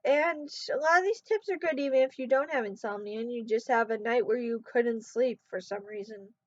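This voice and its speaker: A woman talking casually to her camera, sleep-deprived, her voice going hoarse, and rambling as she trails off. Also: fairly high pitch, brisk, dry recording. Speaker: vlogger. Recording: dull, muffled sound in a dry room.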